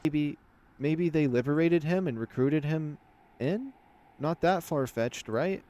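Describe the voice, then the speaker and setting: A man's voice: on the high side, slow pace, mellow, pitched above the performer's own voice. A man voicing an uneasy animal warrior in a fantasy visual novel, hesitant and full of questions.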